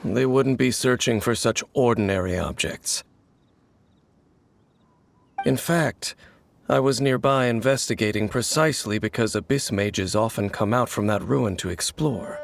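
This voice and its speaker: An actor playing a mysterious, grave wanderer who hunts the Abyss, speaking in a measured and cryptic way in video game voice acting. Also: male voice, medium pitch, vocal fry. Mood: solemn. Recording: game audio with loud music.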